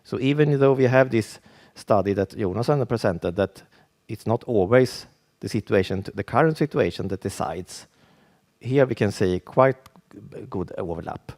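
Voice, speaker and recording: A Swedish man with a Swedish accent, giving a conference presentation in English as a second language, halting and full of restarts. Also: fairly low pitch, expressive, a little mellow. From Sweden. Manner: halting, with frequent restarts and fillers. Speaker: conference speaker.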